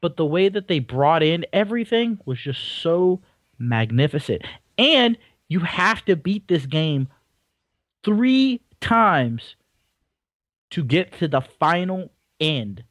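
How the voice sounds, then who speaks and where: A man's voice: high-pitched, very animated, slow pace, no room sound. A young man co-hosting a casual video game podcast, blunt and opinionated and prone to rambling. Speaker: podcast co-host. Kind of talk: casual chat about video games.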